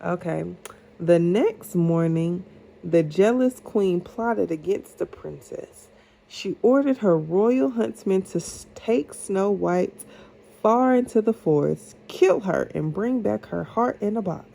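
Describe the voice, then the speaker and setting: Mom reading a fairy tale out loud, slow n patient, creaky mellow voice, encouraging. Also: female voice, mid-range voice, lively intonation, low-quality recording. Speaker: mother reading aloud to her child. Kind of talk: storybook read-aloud.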